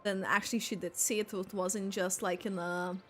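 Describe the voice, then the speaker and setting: A woman giving casual, expressive live reaction commentary while playing a game. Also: mid-range voice, moderate pace. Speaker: game streamer. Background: game music.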